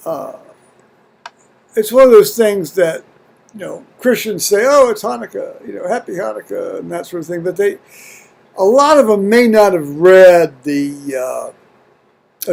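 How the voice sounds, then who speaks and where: An American man video blogging at home in a halting, folksy, rambling way, muttering to himself and reading to viewers. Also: high-pitched, creaky, darkish tone. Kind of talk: rambling casual chat with scripture reading. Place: at home, indoors.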